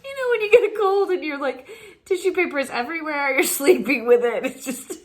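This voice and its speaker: A woman's voice: sits high, expressive, fairly quick. A woman in her forties with a stuffy nose from a cold, hosting a laughter session from her living room, laughing through her words. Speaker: laughter yoga host. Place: sunny living room at home.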